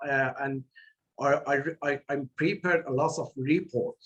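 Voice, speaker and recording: A man with a non-native English accent, giving earnest, halting and repetitive remarks and answers as a guest presenter in an online meeting. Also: medium pitch, a bit bright, lo-fi sound. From outside Australia, moved there in 2018. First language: not English. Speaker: guest presenter, building designer.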